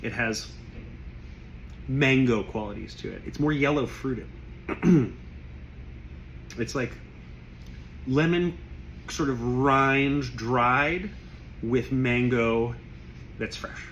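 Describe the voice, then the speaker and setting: A man whose voice is going hoarse after a cough. He talks in loose, rambling bursts about the wine in front of him, pausing to clear his throat. His pitch sits in the middle, and faint room noise hums behind him. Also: a bit bright, muffled recording. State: losing his voice. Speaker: wine shop owner tasting wines. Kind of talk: casual tasting video.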